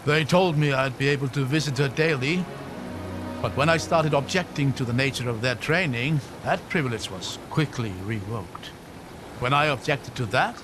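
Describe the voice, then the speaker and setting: A man's voice: mid-range voice, background noise, lightly acted. A man acting a wry, regretful fugitive companion. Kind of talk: video game cinematic acting.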